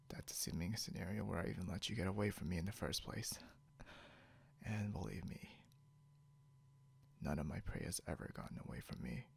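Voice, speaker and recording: Man acting a very gravelly, possessive captor, low-voiced. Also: exaggerated voice. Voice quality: soft, breathy, often near whisper. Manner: smug, teasing, slow and deliberate. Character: possessive, snarky non-human male captor, condescending yet caretaking. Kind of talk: intimate ASMR roleplay.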